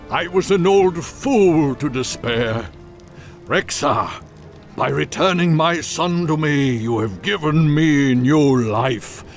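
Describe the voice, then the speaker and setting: A man voicing a noble old tauren chieftain in a video game, solemn and dignified in manner. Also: mid-range voice. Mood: moved, grateful. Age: older. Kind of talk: video game cutscene acting. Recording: music behind the voice.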